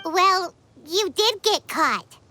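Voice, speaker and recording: A woman voicing a tiny, cheeky, childlike sprite companion in a video game, with a squeaky cartoonish voice. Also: expressive, slow pace, pushed and bright, a little hiss or hum, exaggerated voice.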